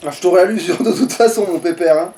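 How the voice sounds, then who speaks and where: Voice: mid-range voice, fast, mellow, far from the mic. A gamer giving casual, grumbling, sweary commentary while playing a video game.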